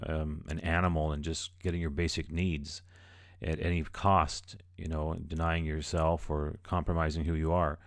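A low-pitched priest delivers a teaching, reading passages aloud before putting them in his own words. His deep voice sits close to the mic in a dry, dead room. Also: no echo. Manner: reads passages then explains in plain words, with fillers.

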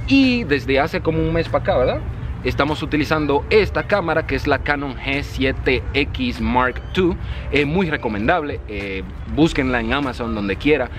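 A man's voice: mid-range voice, normal speed, faint music behind. A man in his thirties from the Dominican Republic with a Cibao accent, chatting casually with viewers while answering comments outdoors in a park. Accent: Dominican, Cibao region. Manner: lively and chatty, often addresses viewers as "señores". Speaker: vlogger, channel host. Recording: outdoor noise behind voice.

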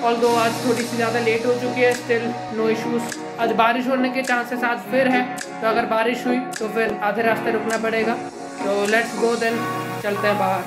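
A man's voice: extremely high pitch, completely flat delivery, moderate pace, loud music behind the voice. A young man from Odisha, India, chatting casually with many fillers while riding a motorbike outdoors. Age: young adult. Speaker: vlogger. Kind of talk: casual motovlog commentary.

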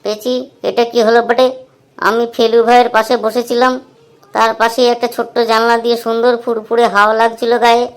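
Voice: mid-range voice, little pitch movement, a little fast, clean tone, lightly acted. A performer voicing cheeky, playful schoolboys in a comedy cartoon. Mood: teasing.

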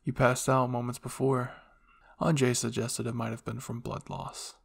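Man playing a gruff, blunt captain, gravelly voice. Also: medium pitch, level tone, moderate pace. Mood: bored, curt. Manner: curt, scolding, awkwardly tender. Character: gruff, blunt soldier captain, secretly caring. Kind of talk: anime fan fiction roleplay.

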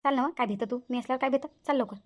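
A woman's voice: sits high, rapid-fire, lightly acted. A woman voicing a bossy, nosy village woman who plays detective in a cartoon, urgent and commanding, with a Varhadi Marathi accent. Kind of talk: cartoon character dialogue.

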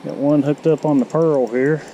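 A man gives relaxed, low-key commentary while he fishes in a shallow river. His voice is mellow and unhurried, picked up by a head-mounted camera outdoors. A faint hiss of moving water sits behind him. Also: muffled recording. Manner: low-key, talks to himself while reeling in fish. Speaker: angler filming his catch. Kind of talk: casual fishing commentary. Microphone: head-mounted action camera.

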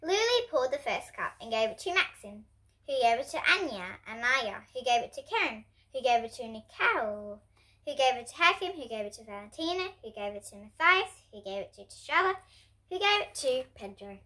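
A young girl of about ten to twelve reading a children's storybook aloud in an expressive way. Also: fairly high pitch, fairly quick. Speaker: host reading picture books for kids. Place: indoor room in front of bookshelves.

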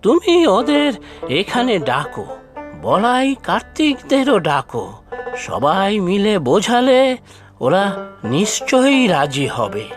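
A man voicing a warm, talkative villager in a folksy cartoon, with animated, emphatic delivery. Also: sits high, takes it slowly, long pauses, faint music behind, heavily acted. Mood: advising. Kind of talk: Bengali cartoon voice acting.

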